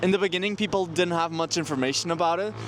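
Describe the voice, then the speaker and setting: A man's voice: fairly high pitch, fast talker. A twenty-year-old man from Portugal, answering casually in an outdoor street interview. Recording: outdoor street with faint traffic noise.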